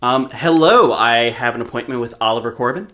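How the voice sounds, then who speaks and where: An actor playing an awkward, apologetic young amateur investigator in an audio drama, talking in a halting, stammering way. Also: male voice, medium pitch, a bit bright, dry recording, natural voice. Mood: polite, nervous. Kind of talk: naturalistic audio drama acting.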